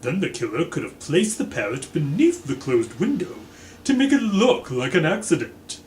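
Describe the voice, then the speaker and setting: A man voicing a self-important, blustering military gentleman who speaks with pompous emphasis in a storybook reading. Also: fairly high pitch, very animated, normal speed, pitched above the performer's own voice. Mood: accusing. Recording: echoey room, faint background noise.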